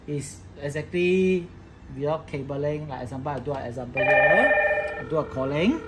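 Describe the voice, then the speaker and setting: A man's voice: on the high side, expressive, moderate pace, heavy background noise. A man giving an informal hands-on tech tutorial, talking through each step as he works. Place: indoor office workbench with electronic devices.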